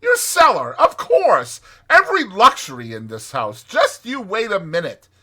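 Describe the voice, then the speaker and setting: A man's voice: high-pitched, wildly swooping pitch, unhurried, pushed and bright, voice pushed up, full character voice. A man voicing a gloomy, kindly little mole in a storybook, with a plaintive, moaning manner. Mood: proud, brighter.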